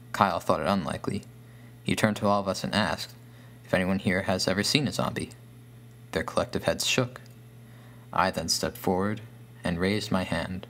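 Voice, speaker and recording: A man with a deep, low voice narrates a scripted horror tale as a storyteller. A faint hum sits under the recording. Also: a bit bright.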